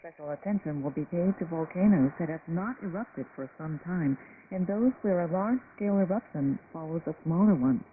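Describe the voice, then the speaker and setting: A woman's voice: on the low side, moderate pace. Tinny shortwave reception, heavy noise, woman, radio news anchor, formal scripted delivery.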